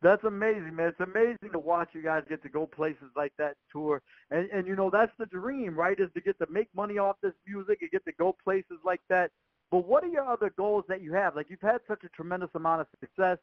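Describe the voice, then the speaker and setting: A man's voice: high-pitched, expressive, brisk, no echo. A man hosting a casual radio interview, putting questions to his guest over a muffled call line. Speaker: radio show host, interviewer. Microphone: phone or call line. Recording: muffled, dull conference-call audio.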